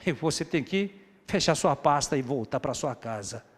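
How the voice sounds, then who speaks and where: Man, pastor, sermon, slightly high voice, very animated, hushed then loud emphasis, echoey church hall. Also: normal speed, slightly rough. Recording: echoey hall with long reverb.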